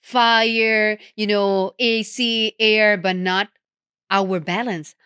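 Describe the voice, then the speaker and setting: Host: woman, mid-pitched, dry close recording, halting delivery with restarts. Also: steady tone, sharp tone, clear, resonant tone, dry recording. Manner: halting, searching for words, many restarts. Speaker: podcast host. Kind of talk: interview questions.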